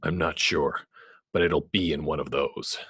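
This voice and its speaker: A man playing a grim, brooding masked vigilante with a husky voice and terse, grave delivery. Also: very deep voice, fairly even intonation, unhurried, fairly bright tone, heavily acted. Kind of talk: amateur superhero script table read.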